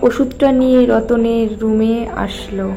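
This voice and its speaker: A woman narrates a cartoon fairy tale in a fairly high voice. Her delivery is scripted storybook narration, set over clearly audible music. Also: fairly even intonation, unhurried, poor audio.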